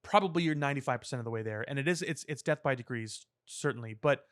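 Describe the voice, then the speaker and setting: A man's voice: mid-range voice, quick pace, fairly bright tone, no echo, clean studio sound. A man co-hosting a pop culture podcast, talking casually in a discursive, analytical way. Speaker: podcast co-host. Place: podcast studio.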